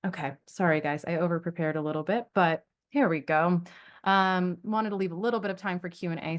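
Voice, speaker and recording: A woman giving a casual webinar presentation on study skills from slides, chatty and encouraging, correcting herself as she goes, on a computer mic. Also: lowish voice.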